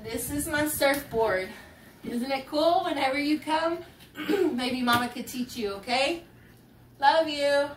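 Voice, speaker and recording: Slow-paced mother reading a bedtime story. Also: sits high, slight background noise, poor audio. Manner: simple explanatory asides to a young child. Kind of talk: storybook read-aloud. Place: small bedroom, indoors.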